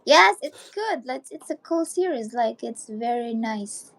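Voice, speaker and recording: A woman's voice: fairly high pitch, lively intonation, a little slow, a bit bright. A woman with a Filipino accent co-hosting a casual live chat, teasing and peppering her English with Tagalog tags. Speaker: co-host.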